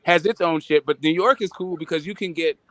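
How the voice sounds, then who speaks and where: Casting: California man, co-host, slightly high voice, dry close sound, rambling and profane. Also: a little fast, a bit bright, no room sound. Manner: loose, rambling, swears a lot. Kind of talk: casual profane chat.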